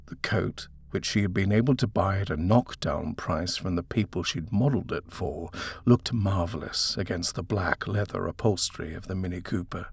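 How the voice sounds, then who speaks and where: Low, husky male storyteller. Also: normal speed, few pauses, no echo. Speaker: audiobook narrator. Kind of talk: scripted dramatic reading of a horror story.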